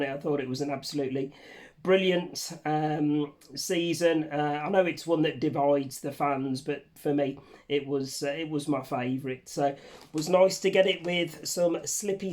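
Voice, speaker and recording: A man with a hoarse, croaky voice from a cold, chatting casually to camera as a vlog host in a room at home. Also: on the high side, a little mellow. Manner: chatty, rambling, casually swearing. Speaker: channel host. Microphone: facing camera under a ring light.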